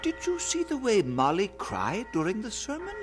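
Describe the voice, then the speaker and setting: A man playing an eager, impressionable young friend of a preacher in an audio drama, talking with excitable admiration. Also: sits high, normal speed, faint music behind. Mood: excited admiration.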